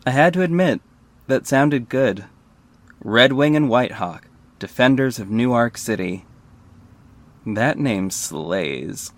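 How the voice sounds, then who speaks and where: A man's voice: mid-range voice, lively intonation, slow, pauses often. A man playing a wry, self-aware superhero who narrates his own story in a scripted audio drama.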